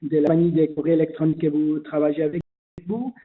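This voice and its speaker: A man's voice: a little high, flat delivery, fast. Man, non-native French, hesitant webinar presenter, roomy echo. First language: not French. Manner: hesitant, many fillers and restarts. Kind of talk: explaining online registration. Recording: echoey phone-like webinar audio.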